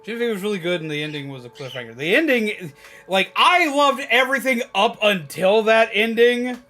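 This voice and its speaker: A man livestreaming, chatting casually and rambling to viewers in an animated, emphatic, opinionated way. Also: high-pitched, moderate pace. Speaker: live streamer. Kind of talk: casual rambling chat with viewers while gaming.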